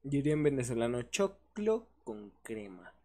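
A young man with a Mexican accent chats on a livestream. He talks in a casual, slangy and joking way at a middle pitch. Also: sing-song, moderate pace, a little mellow. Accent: Mexican Spanish. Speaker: podcast co-host. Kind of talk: casual chat.

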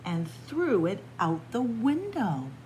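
A woman storyteller reads a picture book aloud to children in an expressive manner, recorded indoors in a library room. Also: medium pitch, takes it slowly, poor audio. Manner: expressive, addressing young listeners as friends. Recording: clear background room noise.

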